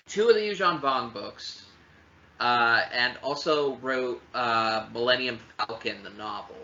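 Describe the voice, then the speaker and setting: A man with a very bright, tense voice co-hosts a casual chat show. He talks in halting bursts with emphatic repeats. The audio is a little dull, with faint noise behind him. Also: mid-range voice. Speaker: podcast co-host.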